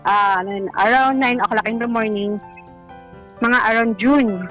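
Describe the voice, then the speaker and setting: A woman's voice: a little high, steady tone, a little slow, a bit bright, faint music behind, low-quality recording. A Filipino woman calling a radio show, telling a personal story in a casual, hesitant, self-deprecating way. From Philippines.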